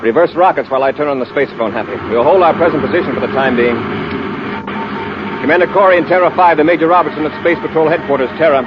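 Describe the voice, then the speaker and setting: An actor playing an authoritative, decisive space patrol commander in a clipped, commanding manner, in the style of 1950s radio drama. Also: male voice, fairly high pitch, fast talker, natural voice. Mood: brisk, urgent. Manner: clipped, commanding, issuing orders. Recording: muffled, noisy old 1950s radio recording.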